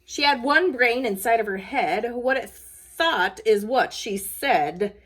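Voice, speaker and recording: A woman's voice: on the high side, animated, takes it slowly, fairly bright tone, poor audio. A woman speaking casually and simply to children, in the manner of a school nurse at story time. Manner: plain, simple, child-directed phrasing. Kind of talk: casual talk to children and read-aloud storytelling.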